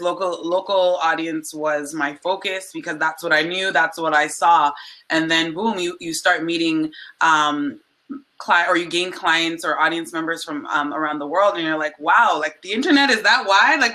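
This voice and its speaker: A woman with a low, extremely bright and forceful voice speaks as a panelist. She gives advice conversationally, stressing key words by repeating them. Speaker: panelist, influencer.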